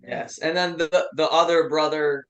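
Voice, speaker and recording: A man's voice: a little high, fairly even intonation, moderate pace, fairly bright tone. A man in his twenties from Western New York, a metal band member chatting enthusiastically as a guest and swearing freely. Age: 20s. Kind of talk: casual chat.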